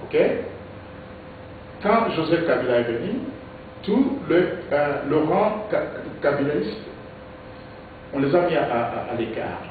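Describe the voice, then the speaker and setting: A man from Kinshasa, a politician giving interview answers in a halting way, repeating words and using many fillers. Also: a little high, very animated. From Congo (Kinshasa). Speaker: politician, presidential hopeful. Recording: echoey, distant, phone-like with noise.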